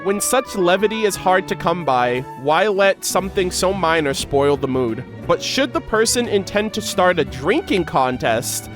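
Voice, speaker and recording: A man's voice: high-pitched, animated, moderate pace, hardly any pauses, a bit bright, soft background music. A man doing casual reaction commentary and reading game lore aloud, as an enthusiastic fan who uses slang. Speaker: gaming reaction video creator.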